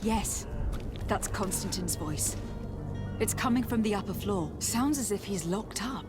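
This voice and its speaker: Woman playing a composed noblewoman, mid-pitched. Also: expressive, over music, close to an everyday voice. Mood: thoughtful. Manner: measured, polite. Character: composed, courteous young noblewoman envoy. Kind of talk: video game cutscene acting.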